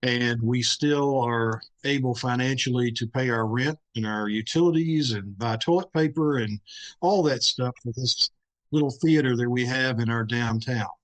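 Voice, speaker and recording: Man from Kentucky, average pitch, casual introduction to a panel. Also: moderate pace, low-quality recording. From Kentucky, United States. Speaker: audio drama group leader, panelist.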